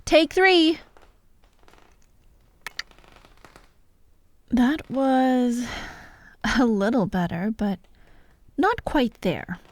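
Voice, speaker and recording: A woman's voice: a little high, animated, takes it slowly. A woman playing a vengeful fired actress holding hostages in an ASMR audio drama, taunting and theatrical, often dropping to a whisper. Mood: impatient, demanding. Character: vengeful fired actress, sadistic hostage-taker directing victims.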